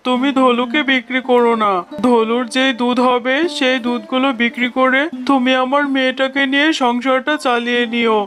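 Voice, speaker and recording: Sick cartoon fox dad played by a man, resigned, loving, little pitch movement, music behind. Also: flat delivery, normal speed, a bit bright. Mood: sorrowful resignation. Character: ailing, resigned, loving father fox, rickshaw puller.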